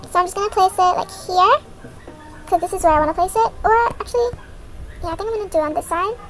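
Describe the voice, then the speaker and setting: A woman's voice: extremely high pitch, fast talker. A young woman giving a casual, step-by-step tutorial as a video creator in a voice-over. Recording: music behind the voice. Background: background music.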